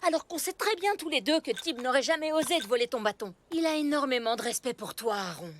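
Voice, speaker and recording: A woman's voice: high voice, expressive, fast, slight background noise. A woman voicing a strict, protective mother in a cartoon, speaking firmly. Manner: firm, scolding.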